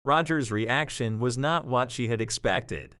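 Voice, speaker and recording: A man's voice: medium pitch, a little slow, fairly bright tone, clean tone, no echo, studio quality. A man narrating a scripted story as the narrator of an audio drama.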